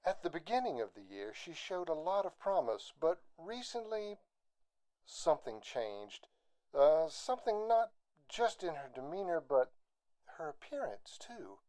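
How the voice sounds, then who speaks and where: A male university professor in an audio drama. He speaks slowly and haltingly, with a soft, dark tone and lively swings of pitch. He comes across as concerned and hesitant, weighing each phrase. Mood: uneasy, puzzled. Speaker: actor.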